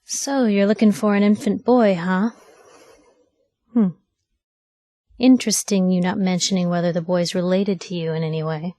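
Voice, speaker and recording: A woman's voice: mid-range voice, darkish tone, no room sound, studio quality, natural voice. An actress playing a spirited, nosy young woman reporter in a video game, talking in a wry, inquisitive, punchy and colloquial way. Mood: probing, coy. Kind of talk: video game companion dialogue.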